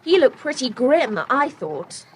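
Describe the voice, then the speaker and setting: Spirited outspoken child, high sharp voice, animated, forthright, children's radio play, faint noise. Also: female voice, measured pace, heavily acted. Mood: keen, spirited. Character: keen, outspoken child adventurer. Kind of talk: children's audio drama acting. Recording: slightly noisy tape transfer.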